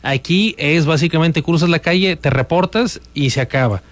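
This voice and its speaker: A man's voice: fairly high pitch, normal speed, no room sound. Upbeat male radio host, dry sound. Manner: upbeat, persuasive promotional delivery. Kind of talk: radio talk show hosting.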